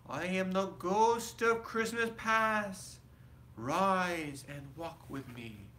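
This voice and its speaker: Festive ghost for a storybook, played by a male reader: commanding, declaiming, bright and forceful. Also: high voice, extremely slow, slight background noise, voice pushed up, full character voice. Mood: solemn, inviting.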